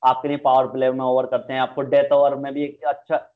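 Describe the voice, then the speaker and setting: A man's voice: medium pitch, completely flat delivery, brisk. A young man giving conversational cricket match analysis over a video call. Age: young adult. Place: indoors, small room, video call. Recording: thin phone-like video call audio.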